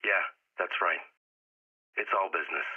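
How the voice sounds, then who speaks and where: A tinny phone voice, that of a shady fixer, lowish and wry. He talks business in a casual, sardonic way, as in a video game. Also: normal speed, husky. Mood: wry, businesslike. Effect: phone filter. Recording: tinny narrow phone line.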